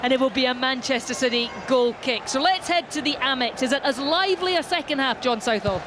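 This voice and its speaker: A woman doing live football play-by-play commentary, steady and descriptive. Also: high voice, brisk. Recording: stadium crowd and noise behind.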